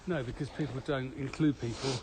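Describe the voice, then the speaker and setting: A man's voice: mid-range voice, fairly quick. A man talking casually as co-host of a podcast. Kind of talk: casual conversational podcast chat. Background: steady ambient noise.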